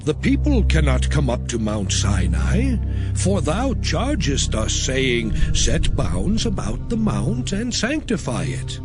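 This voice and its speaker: Moses speaks slowly and emphatically, like an earnest older leader, as a man reading the story puts him on. His voice sits high and swings widely in pitch. Music plays behind him. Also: wildly swooping pitch, exaggerated voice.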